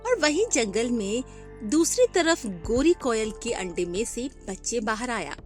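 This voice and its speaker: Lively woman narrating a cartoon tale. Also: fairly high pitch, measured pace, faint music behind. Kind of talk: scripted cartoon story narration.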